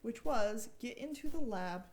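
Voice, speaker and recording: A woman's voice: a little high, expressive, a little slow, a little mellow, slight background noise. A woman giving a dramatic, informal reading of a thriller novel as one of its authors. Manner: informal, breaks off to joke and correct slips. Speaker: co-author reading from own book.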